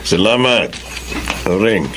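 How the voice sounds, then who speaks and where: A man's voice: fairly low pitch, expressive, slow. A man playing a helpful, concerned uncle in a Filipino drama, assured and avuncular. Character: helpful, concerned older brother and investigating uncle. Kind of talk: Filipino audio drama acting. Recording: faintly noisy amateur recording.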